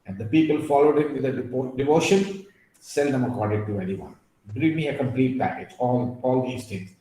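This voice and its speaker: A man giving an earnest, emphatic webinar talk, drifting into asides and filling pauses with "uh". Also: medium pitch, warm tone. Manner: emphatic, digressive, with frequent fillers. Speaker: guest speaker on a webinar. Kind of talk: earnest lecture with personal asides. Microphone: video call. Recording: very echoey room over a call.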